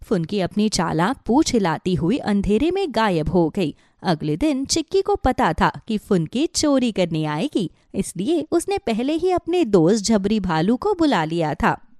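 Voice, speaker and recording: A woman's voice: mid-range voice, big pitch swings, a little slow, few pauses, a little mellow, dry recording, clean studio sound. A woman narrating a scripted children's animal story.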